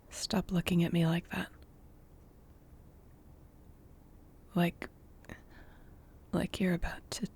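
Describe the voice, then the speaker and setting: A woman acting a guarded, flustered but tender mentor in a modern fantasy audio roleplay, speaking haltingly and often near a whisper. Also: on the low side, vocal fry, a little mellow, slightly rough, exaggerated voice. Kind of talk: audio roleplay acting, intimate one-sided dialogue.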